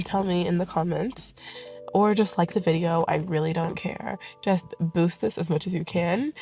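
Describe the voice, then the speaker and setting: Brief: woman, congested and sniffly from illness, low-ish voice, loose off-script chatter with self-corrections. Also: darkish tone. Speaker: creator presenting her characters. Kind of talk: casual rambling vlog commentary.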